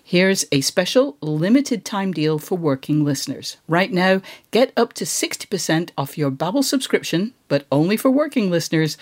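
Steady, smooth ad read. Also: mid-range voice. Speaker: ad reader. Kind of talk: read advertisement.

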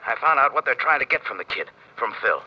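Urgent tipster on the phone, rapid and animated, clipped, muffled vintage radio crime style. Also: male voice, medium pitch, breathy edge. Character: eager male informant, brisk and cocky. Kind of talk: 1950s radio crime drama acting. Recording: narrow muffled radio phone-call sound.

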